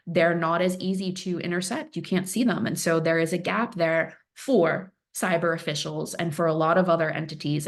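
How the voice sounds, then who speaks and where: An earnest woman gives a webinar briefing as an analyst, leaning on words and repeating phrases to drive points home. Her voice sits a little low for a woman, and she talks steadily with the odd "um". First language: Farsi speaker. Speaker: threat intelligence analyst presenting. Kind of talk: webinar presentation.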